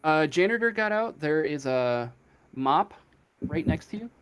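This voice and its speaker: A man running a casual tabletop game as game master, improvising the narration in a hesitant, halting way. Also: on the high side, animated, clear, resonant tone.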